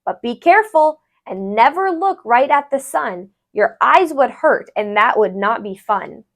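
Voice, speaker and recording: Animated high-voiced woman reading to children. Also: a little slow. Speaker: tutor reading a children's book aloud. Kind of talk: animated children's read-aloud of a rhyming book.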